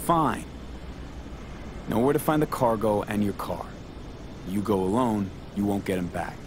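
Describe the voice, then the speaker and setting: V, actor as a low-voiced, terse mercenary. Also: male voice, unhurried, close to an everyday voice. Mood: firm. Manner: terse, clipped, matter-of-fact. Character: cool, pragmatic street mercenary man. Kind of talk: naturalistic video game acting. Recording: vehicle and ambience noise behind.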